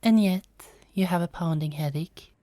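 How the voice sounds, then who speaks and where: Woman acting a low, mellow, teasing goddess. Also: normal speed, no room sound, lightly acted. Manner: playful, teasing, conversational. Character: teasing, kindly Norse goddess, cheeky and unafraid. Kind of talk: fantasy ASMR roleplay acting.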